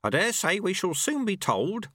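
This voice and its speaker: A man's voice: high-pitched, huge pitch swings, moderate pace, a bit bright, no echo, pitched above the performer's own voice, heavily acted. A man voicing a sly old male monk and martial arts master, dry and mocking in an audiobook. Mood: calm. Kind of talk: audiobook character voice.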